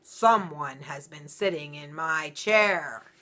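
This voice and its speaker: Lady putting on a big indignant daddy bear for a kids story, slow huffy delivery, bright edge. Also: low voice, full character voice. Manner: slow, emphatic, huffing delivery. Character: great big gruff papa bear, indignant.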